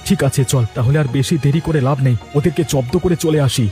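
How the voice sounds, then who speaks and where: Man playing uneasy cartoon troublemaker, high-pitched, fast, mellow tone, easily cowed. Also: soft background music, close to an everyday voice. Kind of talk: Bengali cartoon voice acting.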